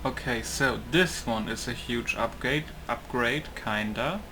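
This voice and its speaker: Male gaming YouTuber, unhurried and musing, mid-range voice, casual commentary over clearly audible background noise. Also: mid-range voice, bright, forceful tone. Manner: musing, thinking aloud. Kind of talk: casual let's play commentary.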